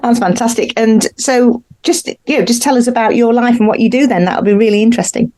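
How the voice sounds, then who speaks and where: A woman's voice: on the high side, fast, mellow. Woman hosting a podcast intro. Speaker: podcast host. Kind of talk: scripted podcast intro then interview chat.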